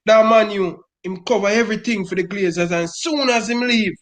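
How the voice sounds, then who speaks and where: High-voiced Jamaican man ranting. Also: normal speed. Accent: Jamaican Patois. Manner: emphatic, repeats "brother" constantly. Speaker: football fan guest. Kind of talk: impassioned fan rant.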